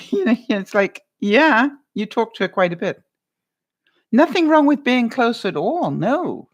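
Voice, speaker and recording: A woman vlogger chatting casually on a livestream, answering viewers by name, lively and quick to laugh, with a loud voice that carries. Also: a little high, dull audio. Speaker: vlogger answering live chat.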